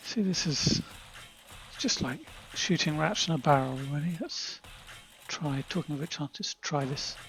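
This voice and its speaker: A man voicing a confident jungle man who thinks of himself as British, with a British accent and a husky voice, talking in a chatty, understated way and muttering asides as he plays a game. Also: fairly high pitch, a little slow, fairly bright tone, low-quality recording. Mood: pleased. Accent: British English. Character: confident jungle man Tarzan turned proper British gent. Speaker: gameplay commentator in character. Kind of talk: in-character gameplay narration.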